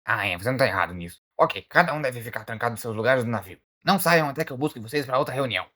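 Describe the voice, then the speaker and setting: A man voicing a blunt, exasperated alligator detective, a ship's guard who questions people in a casual, muttering way, in an animated film. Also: mid-range voice, racing. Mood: probing, exasperated. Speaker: ship security guard turned detective. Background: faint music.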